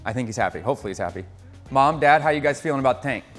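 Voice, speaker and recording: An American man of ordinary pitch talks through a build in an upbeat, coaching way, explaining each step as he goes. His voice trails into a long reverb, with soft music underneath. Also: expressive, rapid-fire. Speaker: aquarium hobbyist host. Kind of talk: casual instructional vlog.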